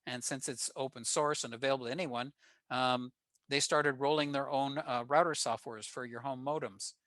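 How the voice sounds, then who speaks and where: An older American man presents technical material informally. His voice is bright and forward, with a plain-spoken, explanatory manner. The sound is close and dry, with very little room in it. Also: medium pitch, fairly even intonation, normal speed, no echo. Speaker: guest presenter at a radio club. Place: remote video call.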